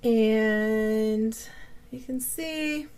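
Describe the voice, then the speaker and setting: A woman's voice: fairly high pitch, drawn-out words, a little mellow. A woman with a cold, chatting casually as she hosts a yarn-dyeing video podcast, rambling with many fillers and restarts. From Vermont, USA. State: has a cold. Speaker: yarn dyer and podcast host.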